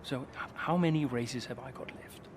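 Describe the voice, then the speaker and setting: A man's voice: medium pitch, moderate pace, close to an everyday voice. A man playing a curt, middle-aged veteran racing driver in a game cutscene, with noise behind him. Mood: uneasy, earnest. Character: gruff veteran racing driver, blunt but secretly soft-hearted. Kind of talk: naturalistic game cutscene acting.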